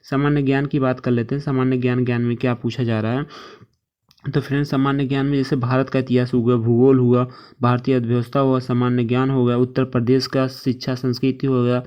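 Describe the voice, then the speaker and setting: A man teaches like an online exam coach, at a middle pitch with little melody. He works through lists informally, drops in English terms and keeps checking in with short tags. The recording sounds dull and muffled. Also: clean tone. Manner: repeatedly checks in with short tag phrases, mixes in English terms. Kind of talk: informal instructional lecture, reading through lists.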